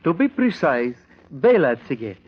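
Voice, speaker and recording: An actor playing a fussy, pedantic, self-important gem maker in an old-time radio drama, with formal, hesitant wording. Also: male voice, a little high, big pitch swings, moderate pace, slight background noise. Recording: muffled vintage radio recording.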